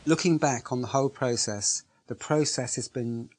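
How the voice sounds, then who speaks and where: Male organiser, mid-pitched, earnest, emphatic testimonial delivery. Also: moderate pace, darkish tone. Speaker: project organiser. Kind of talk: interview testimonial.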